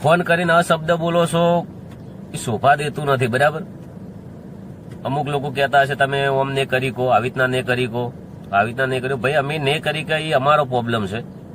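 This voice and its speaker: A man with a North Gujarat accent speaks straight to viewers in an informal, insistent way. His mid-pitched voice is defiant and circles back to the same points, with clear noise behind it. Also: steady tone, moderate pace, fairly bright tone. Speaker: singer addressing community critics. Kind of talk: informal spoken address to viewers.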